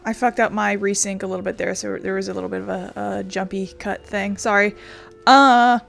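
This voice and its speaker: A woman's voice: mid-range voice, steady tone, fairly quick, gravelly, fairly bright tone, faint music behind. Chatty woman doing casual game commentary. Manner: chatty, informal, playful. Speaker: game streamer.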